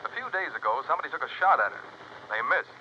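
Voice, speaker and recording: Brisk, worried insurance man. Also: fairly high pitch, big pitch swings, bright, forceful tone, exaggerated voice. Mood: worried, businesslike. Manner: curt, businesslike, urgent. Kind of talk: 1950s radio drama acting. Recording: muffled vintage radio with faint noise.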